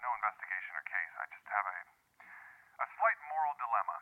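A friendly cop in an audio drama, heard down a narrow, tinny telephone line. His voice is on the low side and moves up and down a lot, with a conscientious, conversational manner. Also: very animated, fast talker. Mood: hesitant, conflicted. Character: male police officer, friendly, conscientious, slightly conflicted. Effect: telephone filter. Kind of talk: naturalistic audio drama dialogue.

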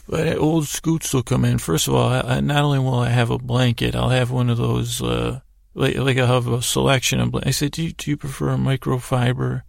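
Guy with a super creaky gravelly voice rambling thru a bedtime story, stumbles and repeats himself, recorded close and dry. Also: medium pitch, dry recording. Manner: meandering, halting, stammering, repeats words. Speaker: podcast host.

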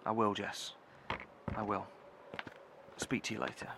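A man playing a cautious, dutiful bunker leader with a measured manner in an audio horror drama. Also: on the low side, steady tone, creaky, a bit bright, a little hiss or hum. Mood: sober, measured.

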